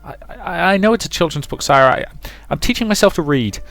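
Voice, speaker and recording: A man's voice: a little high, expressive, a little fast, creaky, a bit bright, no echo, faint music behind, pitched above the performer's own voice. A man voicing a timid, flustered young servant woman from a visual novel, stammering nervously. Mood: nervous, pleading.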